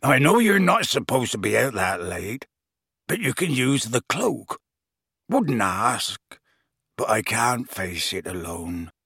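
A man voicing a big, plain-spoken man reading out his sorrowful letter in a halting, heavy-hearted way. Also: mid-range voice, very animated, pushed and bright, breathy edge, dry recording, studio quality, heavily acted. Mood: grieving.

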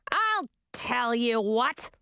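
A woman voicing a shrill, scornful old queen with a screechy, contemptuous way of scolding. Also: big pitch swings, very slow, gravelly, sharp tone, clean tone, no echo, studio quality, heavily acted.

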